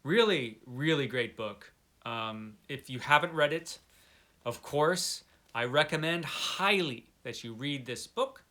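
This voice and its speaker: A man's voice: medium pitch, lively intonation, slow pace, pushed and bright. A man talking casually as a video host, giving his opinions with emphasis and repeating points to stress them. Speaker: booktuber hosting a book tag video. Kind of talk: casual chat to camera, opinionated book talk.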